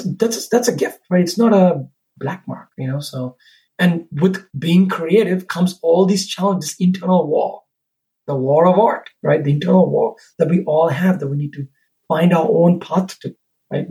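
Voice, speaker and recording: A man's voice: high voice, warm tone, poor audio. Reflective man from Sri Lanka. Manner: reflective, rambling, tags with "right?". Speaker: podcast guest, business owner. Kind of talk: casual conversation.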